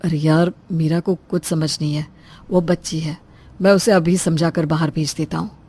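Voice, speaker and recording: Loyal son for a storybook drama, voiced by a woman: low-key, even, mellow, protective. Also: normal speed, slightly rough. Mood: placating. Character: calm, loyal adult son, protective brother.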